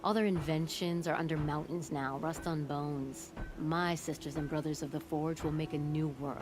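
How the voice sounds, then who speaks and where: Woman playing a brash, low-voiced forge boss. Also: fairly even intonation, normal speed, close to an everyday voice. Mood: boastful, amused. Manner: blunt, wry, folksy boasting. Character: brash, proud older forge boss woman, gruffly humorous. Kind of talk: video game character acting. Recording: music under the voice.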